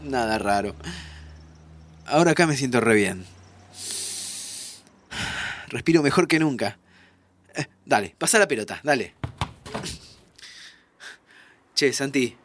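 A man's voice: mid-range voice, lively intonation, measured pace, pauses often, fairly bright tone, slight background noise. An actor playing a joking, encouraging young man from an audio drama, with a Rioplatense Argentine accent and a teasing, colloquial way of talking. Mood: casual. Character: joking, encouraging young man, dead friend visiting the future. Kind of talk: naturalistic audio drama acting.

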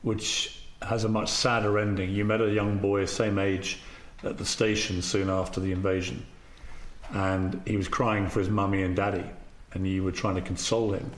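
A man's voice: sits low, level tone, moderate pace, slight background noise. A man hosting an interview, asking probing questions in a conversational way. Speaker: interview host. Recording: roomy echo.

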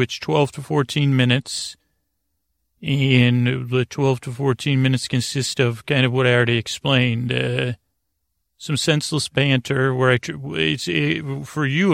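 A man hosting a sleep podcast in a low-key, meandering monologue, halting often and drifting off into digressions. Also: creaky, a bit bright, no room sound, studio quality. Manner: meandering, halting, frequently digressing and losing his thread; low-key, soothing, deliberately unengaging. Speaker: podcast host.

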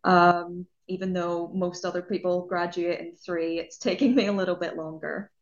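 A young woman with a Northern Irish accent chats easily as an interview guest. Her voice sits a little low, and she often restarts her sentences. The audio is dull and lo-fi. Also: fairly even intonation, moderate pace. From Northern Ireland. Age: young adult. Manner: chatty, fillers and restarts, trails off softer. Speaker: guest, music journalist. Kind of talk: casual interview chat.

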